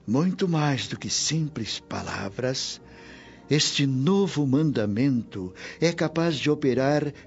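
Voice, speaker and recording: An actor with a Brazilian accent playing a wise, kindly spirit mentor in a radio drama, solemn and gently preaching. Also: male voice, medium pitch. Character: wise, kindly male spirit mentor consoling his pupil. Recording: muffled audio with music underneath.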